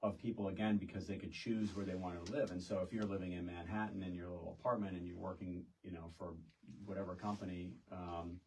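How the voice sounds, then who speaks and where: A middle-aged American man with a very low voice talks as a guest in a casual conversation. He explains in a halting, rambling way with asides, sitting some way off the microphone. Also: flat delivery, darkish tone, a little hiss or hum. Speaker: podcast guest, realtor. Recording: distant microphone.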